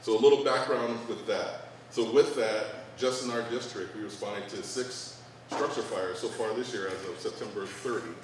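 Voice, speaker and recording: A man, a fire chief, hoarse, giving a friendly report at a community meeting and laughing easily, recorded in a meeting room. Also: fairly low pitch, normal speed. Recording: echoey meeting room, distant mic, background noise.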